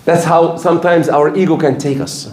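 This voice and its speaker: Older male preacher, emphatic, repeats himself, high voice, echoey room. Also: steady tone, normal speed, a little mellow. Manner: emphatic, repeats key phrases. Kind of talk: sermon.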